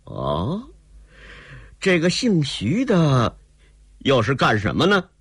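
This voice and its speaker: A male storyteller voicing a proud, quick-tempered young gentleman who talks brusquely. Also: medium pitch, wildly swooping pitch, husky, no room sound, clean studio sound, voice pushed up, heavily acted. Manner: brusque, scoffing.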